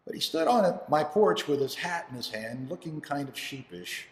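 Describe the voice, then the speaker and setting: High-voiced, emotional father in an echoey hall, acted by a man. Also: lively intonation, lightly acted. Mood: quiet, pained. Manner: halting, emotional, earnest storytelling with dramatic pauses. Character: grieving, devoted father telling of his lost son. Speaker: actor performing an audition monologue. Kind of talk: dramatic first-person monologue. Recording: echoey hall with long reverb.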